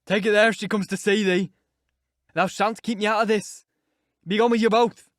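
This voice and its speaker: A man voicing a brutish young man, shouting and barely articulate with rage. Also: sits high, fairly even intonation, moderate pace, dry recording, clean studio sound, pitched above the performer's own voice, close to an everyday voice. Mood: enraged.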